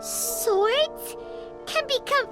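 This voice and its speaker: An actress voicing a tiny, excitable childlike female fairy companion in a game, with chirpy, indignant delivery. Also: very high-pitched, extremely slow, full character voice. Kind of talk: video game cutscene voice acting. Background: game score music.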